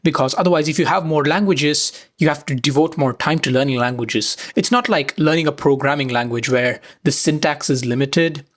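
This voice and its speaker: A man's voice: on the high side, a little fast, few pauses. A teenage student from India co-hosting a podcast, laying out his reasoning in an earnest, step-by-step way. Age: teens. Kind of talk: casual discussion.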